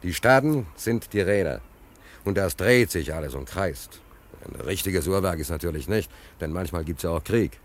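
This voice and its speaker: Ein Mann spielt in einem deutschen Hörspiel einen gestrandeten, ruhelosen Seemann, der nachdrücklich und abschweifend erzählt und sich oft wiederholt. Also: male voice, sits low, expressive, moderate pace. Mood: agitated explaining. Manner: emphatic, rambling, repeats himself, hesitant fillers. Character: stranded, restless seaman, rambling and philosophizing about his luck. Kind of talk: German radio play dialogue.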